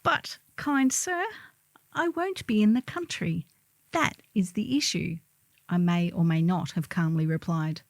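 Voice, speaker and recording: A woman's voice: on the low side, a little slow, dry recording. Middle-aged woman, dry close recording. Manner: wry, theatrical storytelling with acted-out quotes. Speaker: columnist reading own humorous article. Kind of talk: scripted narration of a humorous first-person column.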